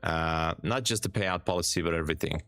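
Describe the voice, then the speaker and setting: A man with a Hungarian accent chatting calmly on a live stream, reading viewer comments aloud and answering them in an easygoing way, often repeating words for emphasis. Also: low-pitched, fairly quick, no room sound, faint music behind.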